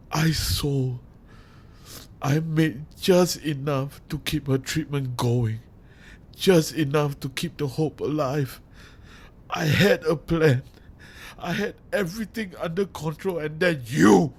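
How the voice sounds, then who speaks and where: A man acting a grieving, unhinged father turned vengeful villain in a dramatic audio monologue, with menacing, anguished delivery and long pauses. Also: a little high, darkish tone, slightly rough, full character voice. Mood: grieving, bitter, then anguished rage.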